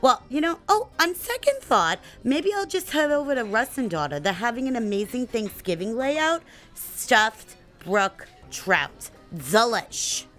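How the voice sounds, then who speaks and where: A woman's voice: fairly high pitch, sing-song, unhurried, fairly bright tone, soft background music. A woman playing a chatty, gossipy New mother in her sixties who brags about her son and drifts off on tangents. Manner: kvetching, name-dropping, tangents. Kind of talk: comedic sketch monologue.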